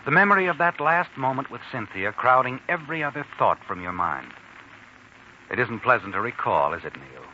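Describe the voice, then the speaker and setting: A man's voice: mid-range voice, animated, a little fast, sharp tone, a little hiss or hum. An American man playing an ominous, taunting narrator in a 1940s radio suspense drama, addressing the hero dramatically. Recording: dull 1940s radio recording, music behind.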